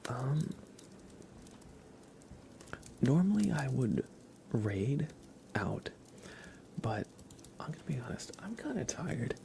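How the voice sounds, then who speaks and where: Male ASMR streamer, soft half-whisper, gravelly fry, low-key casual chat, unhurried, noticeable background noise. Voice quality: soft, breathy, often whispery. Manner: hushed, unhurried, relaxed delivery with pauses. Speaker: ASMR livestreamer.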